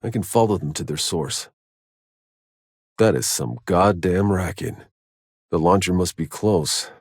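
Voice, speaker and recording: Gruff, sardonic game mercenary: low register, terse and wry delivery, dry studio sound. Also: male voice, unhurried, no echo. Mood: dry, determined. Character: gruff, sardonic male mercenary survivor on a hostile planet. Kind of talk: video game voice lines.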